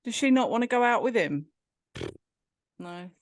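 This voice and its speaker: A woman's voice: expressive, moderate pace, no room sound, clean studio sound. A woman chatting casually at home, playful and teasing. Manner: playful, teasing, talks to the cat in a high sing-song.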